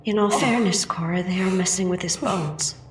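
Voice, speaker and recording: An actress as a sympathetic, deferential woman in an audio drama, gentle and hushed. Her voice is on the low side, in an echoey room with faint noise. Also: moderate pace, natural voice. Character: mild, sympathetic woman who defers to the men.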